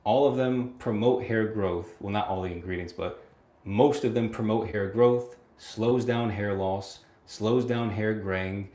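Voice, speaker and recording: A young man in his late twenties chats through a livestream, reading out viewer comments and answering them. He speaks a little low, laughs often, repeats himself and keeps checking in with "right?". The sound rings around an echoey room. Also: measured pace, dark tone. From United States. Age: 20s. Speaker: livestream host.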